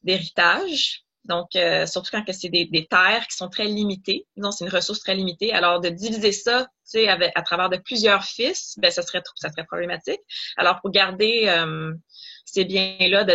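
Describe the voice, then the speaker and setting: Bright-voiced young woman explaining. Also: lowish voice, moderate pace, highs cut off. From Ottawa, Canada. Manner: explanatory, many fillers and restarts. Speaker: doctoral student guest. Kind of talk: interview.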